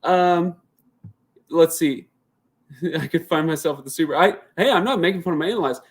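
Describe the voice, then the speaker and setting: Chatty man thinking out loud. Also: a little high, moderate pace. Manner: chatty, thinks out loud while reading viewer comments; rambling, restarts sentences often. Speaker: channel host. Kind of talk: casual live Q&A.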